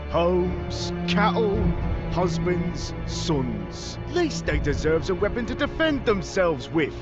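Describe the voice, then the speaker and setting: A man's voice: sits high, very animated, heavily acted. A man playing a weary, defiant outlaw in a video game, speaking in a folksy, rustic way for the common people. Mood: earnest, defiant. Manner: slow, folksy, rustic speech. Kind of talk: video game cutscene acting. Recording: muffled audio under music.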